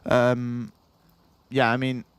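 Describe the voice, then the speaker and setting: A man's voice: medium pitch, completely flat delivery, extremely slow, clean tone, no echo. Young Dutch-accented man, livestream host, repetitive rambling, worn out, dry close sound. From Netherlands. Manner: rambling, repeats himself, chuckles often, reads chat aloud. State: tired after hours of streaming. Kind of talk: casual livestream chat.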